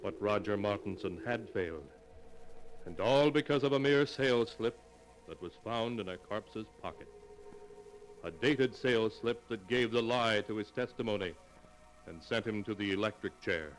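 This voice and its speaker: Male radio narrator, low voice, solemn, ominous, faint music behind. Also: moderate pace, poor audio.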